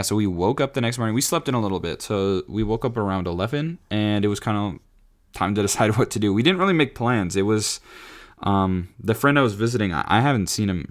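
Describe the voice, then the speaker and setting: A man in his twenties hosting his own podcast, rambling casually with lots of fillers and restarts, his voice raspy from singing. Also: lowish voice. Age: 20s. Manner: rambling, self-correcting, frequent fillers. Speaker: podcast host. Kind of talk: rambling solo podcast chat.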